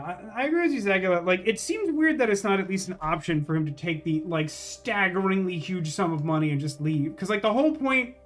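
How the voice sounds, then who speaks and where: A man doing casual live gameplay commentary, rambling and thinking aloud as he questions himself. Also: high-pitched. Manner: rambling, musing, self-questioning. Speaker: game streamer.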